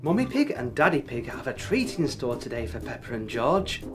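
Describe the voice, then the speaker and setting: A narrator telling a scripted children's story in an expressive way. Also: medium pitch, normal speed, music in the background.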